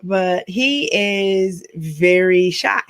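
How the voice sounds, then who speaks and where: A woman's voice: on the low side, very slow. A woman hosting a podcast, chatting casually, teasing her guest and laughing often. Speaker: podcast host. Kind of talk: casual chat.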